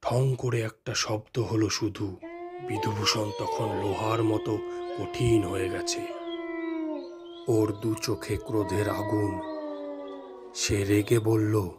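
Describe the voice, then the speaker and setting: A male storyteller with a lowish voice narrates a horror tale very slowly. His delivery is measured and dramatic, holding the suspense. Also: steady tone, over loud music.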